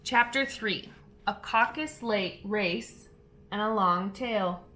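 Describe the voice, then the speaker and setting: A woman reading a children's audiobook in an expressive, deliberate storybook narration style. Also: medium pitch, a little hiss or hum.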